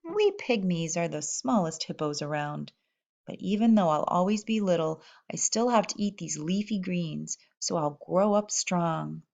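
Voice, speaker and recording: Slow-paced woman reading a children's storybook. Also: on the low side, creaky. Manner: expressive, speaking as baby animals in first person. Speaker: storybook reader. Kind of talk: children's picture book read-aloud.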